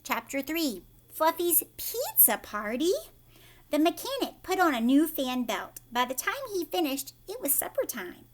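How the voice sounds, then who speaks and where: A woman reading a storybook aloud as a teacher, animated, with chatty asides straight to young listeners. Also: high voice, unhurried.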